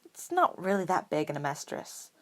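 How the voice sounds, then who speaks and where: A woman's voice: low-pitched, very animated, normal speed. A young boy voiced by a woman in a read-aloud story. He talks casually and plainly, modest but prickly, with a guarded, grumbling manner.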